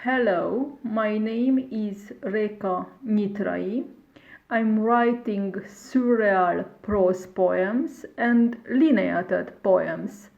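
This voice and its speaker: A woman from Romania, a poet introducing herself and reading her surreal poem slowly and carefully. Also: mid-range voice, gravelly, muffled recording. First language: Hungarian. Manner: slow, measured reading with pauses. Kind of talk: poetry reading.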